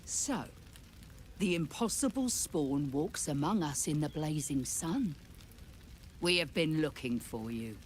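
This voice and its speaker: Stern female hunter chieftain, low voice, slow solemn commands, fantasy game, noisy ambience behind. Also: animated. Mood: stern, accusing. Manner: formal, grave, deliberate delivery. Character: stern grieving monster-hunter leader, a woman, solemn and commanding. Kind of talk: video game dramatic acting.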